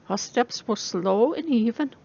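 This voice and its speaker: A woman's voice: fairly high pitch, animated, a little slow, gravelly, a little mellow, dull audio. A woman reading a novel aloud as dramatic narration. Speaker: reader of a story.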